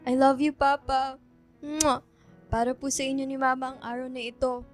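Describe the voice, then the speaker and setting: An actress playing an affectionate, dutiful college-age daughter in a radio drama. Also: female voice, high voice, level tone, normal speed, close to an everyday voice. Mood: tender. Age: young adult. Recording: music behind the voice.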